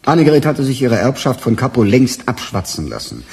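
A man's voice: mid-range voice, huge pitch swings, fairly quick, a little mellow. An actor playing a probing, insistent male interrogator in a German radio crime drama. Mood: assured, needling.

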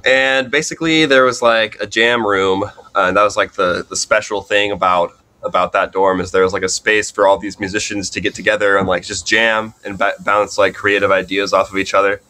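A young man in a casual interview, telling stories in a halting, loose way with lots of "like". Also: on the low side, sharp tone. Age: young adult. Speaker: band drummer, interview guest. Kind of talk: casual chat, storytelling.

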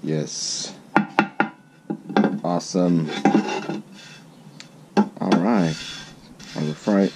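A man's voice: low voice, steady tone, drawn-out words, long pauses. A man giving a casual hands-on tool review in an offhand way, muttering short fragments. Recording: loud ambient machine noise.

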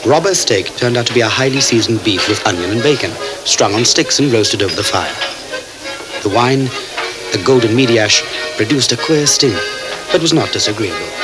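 An actor playing a polite, businesslike gentleman traveler in a radio drama, speaking in a formal, measured way. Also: medium pitch, expressive, over music, natural voice, rough recording. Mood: measured narration. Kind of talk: radio drama acting with first-person narration. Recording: hissy 1979 cassette tape recording.

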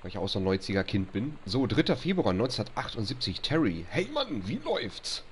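Young guy, low voice, stuffy nose, chatting over a game, faint hum behind. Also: big pitch swings, a little fast. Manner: chatty, reads on-screen text aloud, grumbles at bugs. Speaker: let's play host. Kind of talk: casual gameplay commentary.

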